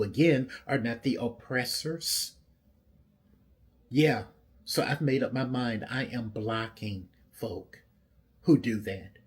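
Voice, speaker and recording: Male commentator, heavy husky tone, mid-pitched, emphatic declarative rant. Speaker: social media commentator. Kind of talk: direct-to-camera rant.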